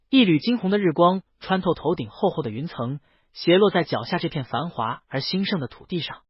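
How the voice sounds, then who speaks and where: A man's voice: a little high, breathy edge, dry recording, muffled recording. A man reading a web novel aloud as a scripted, dramatic audiobook narration.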